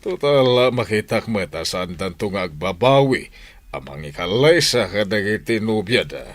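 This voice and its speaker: A pragmatic Ilocano family man acted by a man in a radio drama. His very low voice moves expressively, placating as he reasons, with a dry studio sound. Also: expressive, normal speed, no echo, lightly acted. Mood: reasonable, placating.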